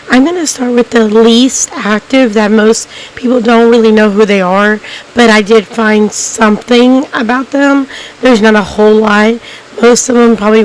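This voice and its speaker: A woman with a hoarse, cracking voice, hosting a documentary-style video and talking casually to viewers, rambling with many ums and restarts. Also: on the high side, rough recording. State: hoarse, losing her voice. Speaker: YouTube documentary host. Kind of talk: casual unscripted narration to viewers.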